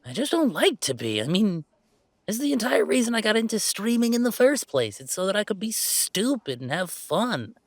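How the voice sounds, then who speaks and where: A man playing a laid-back, self-deprecating young gamer in a roleplay audio drama, chatting in a halting, playfully exasperated way. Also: high voice, very animated, dry recording, heavily acted. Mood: animated. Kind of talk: roleplay audio drama, casual one-sided conversation.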